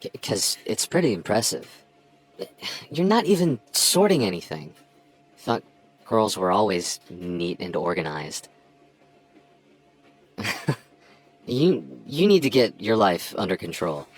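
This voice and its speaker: Male actor as bickering childhood friend, young man, lowish voice, sarcastic and teasing, for ASMR roleplay. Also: very animated, slow pace, pauses often, breathy edge, heavily acted, poor audio. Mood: sarcastic, exasperated. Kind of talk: ASMR roleplay acting, one side of a dialogue.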